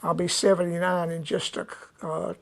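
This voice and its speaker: A man, chatting casually and unhurriedly to his live audience as a musician host, with many pauses. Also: sits high, level tone, gravelly. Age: 70s. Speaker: musician hosting a live stream. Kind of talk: rambling casual chat.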